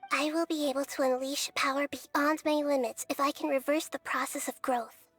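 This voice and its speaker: A woman voicing a gentle small girl goddess who talks in a soft, calm, reassuring way, sometimes near a whisper. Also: high voice, moderate pace, faint music behind. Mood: resolute. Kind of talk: game cutscene voice acting.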